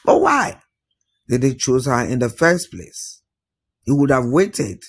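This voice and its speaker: A man voicing an indignant, proud king and father in a folktale, protesting with rhetorical questions in a husky voice. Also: mid-range voice, big pitch swings, slow pace, full character voice. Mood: troubled, protesting.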